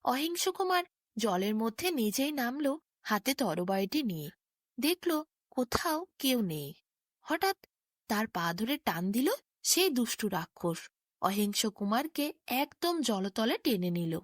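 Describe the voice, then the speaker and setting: Female fairy-tale narrator: higher-pitched voice, very lively melody, scripted bedtime storytelling. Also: measured pace, dry recording, studio quality.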